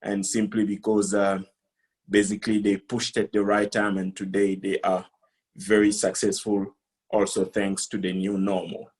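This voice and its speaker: A middle-aged man from the Democratic Republic of Congo giving a business presentation on a video call, speaking in a halting, deliberate way. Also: fairly low pitch, monotone, slightly rough. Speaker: conference speaker, company founder.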